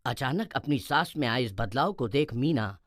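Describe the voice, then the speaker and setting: A man narrating an animated moral story in a scripted storytelling style. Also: medium pitch, moderate pace, no room sound, clean studio sound.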